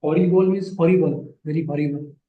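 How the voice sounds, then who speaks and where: A man teaching Sanskrit grammar in an online class, patient and repeating phrases slowly, his English not his first language. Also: on the high side, steady tone, roomy sound, phone-quality sound.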